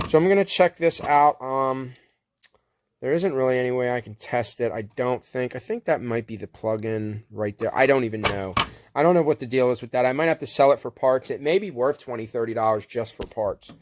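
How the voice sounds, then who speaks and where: A man's voice: mid-range voice, a little fast. A man chatting casually and unscripted as he shows resale finds, rambling and thinking aloud in an unsure way. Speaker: online reseller showing thrift finds. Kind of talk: casual unscripted show-and-tell chat.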